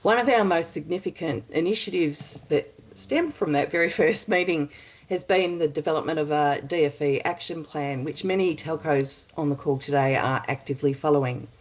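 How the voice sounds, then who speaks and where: An Australian woman with a husky head-cold voice, chairing a webinar in a chatty way with many ums and uhs. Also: deep voice, moderate pace, lo-fi sound. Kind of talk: webinar opening remarks. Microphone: computer mic on a video call.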